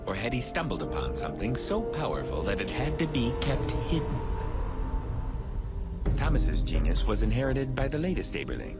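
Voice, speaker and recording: A man's voice: mid-range voice, very animated, moderate pace, over loud music. A man playing a melodramatic narrator of a TV mystery show in an adventure game, posing questions with suspense. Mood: suspenseful. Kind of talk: scripted documentary-style mystery narration.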